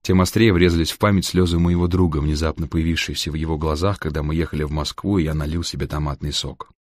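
Male audiobook narrator, low-pitched, husky, wry delivery, dry close sound. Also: fairly even intonation, quick pace, a little mellow, dry recording. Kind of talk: scripted narration of humorous literary story.

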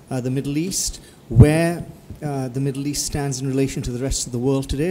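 A man in his forties, co-host and editor, giving measured, courteous closing remarks at a table mic in a conference hall. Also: medium pitch, mellow, slight background noise.

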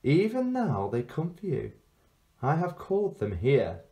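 Storybook villainess played by a man: ancient enchantress in girlish guise, imperious, coaxing, raised pitch, slow delivery. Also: wildly swooping pitch, dark tone, dull audio, full character voice. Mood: menacing. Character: ancient enchantress in a golden-haired young girl's guise, imperious, falsely innocent.

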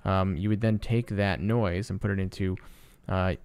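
A man presenting a casual sound design tutorial in a low-key, explanatory way. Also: fairly even intonation, normal speed, darkish tone, clean tone, no echo. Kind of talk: casual screen-recorded tutorial narration.

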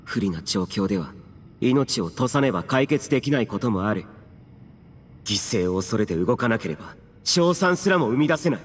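A man's voice: mid-range voice, animated, moderate pace, slight background noise, natural voice. A man playing a grave, battle-hardened young warrior in an anime-style game, speaking in a solemn, austere, slightly archaic way. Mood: grave, resolute. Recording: reverberant, with music behind.

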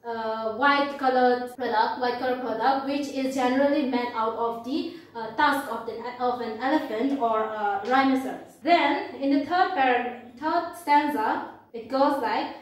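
A young woman with an Indian accent and a high-pitched voice teaches an English class. She explains in halting, repeated phrases and reads aloud as a teacher would. The room is very echoey and she sounds distant from the microphone. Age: young adult. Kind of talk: classroom lesson, explaining and reading a poem aloud. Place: indoor classroom at a blackboard.

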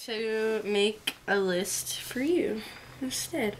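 A woman's voice: a little high, extremely slow, noisy background. A young woman chatting casually and a little shyly with her girlfriend in a small bedroom, recorded on a handheld camera. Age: young adult. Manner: giggly, shy. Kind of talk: casual chat.

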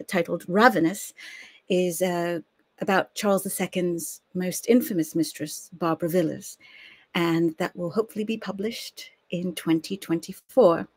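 A woman's voice: medium pitch, no echo. American woman hosting a history livestream. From United States. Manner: chatty, friendly, with fillers and small laughs. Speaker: host. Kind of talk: live-streamed history show introduction. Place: home study with bookshelves.

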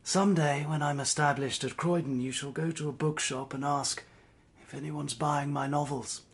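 An actor playing a proud, weary failed novelist in a British period drama, speaking formally and with restraint. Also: male voice, a little high, steady tone, moderate pace, husky. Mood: gentle, resigned.

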